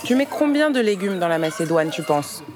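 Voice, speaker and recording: A woman interviewing French learners on site, asking simple, clear questions. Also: lowish voice, sing-song, fairly quick, crowd noise. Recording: music playing in the background.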